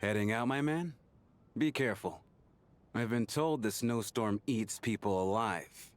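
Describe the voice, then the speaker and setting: A skeptical, teasing townsman voiced by a man for a video game. His voice sits fairly low, and his delivery is casual and wry. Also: measured pace, natural voice. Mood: mocking.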